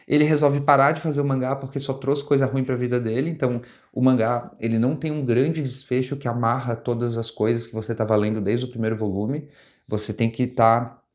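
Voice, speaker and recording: Man, Brazilian Portuguese accent, young adult, channel host, casual review, mid pitch. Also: a little mellow. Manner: conversational, with frequent restarts and rephrasing.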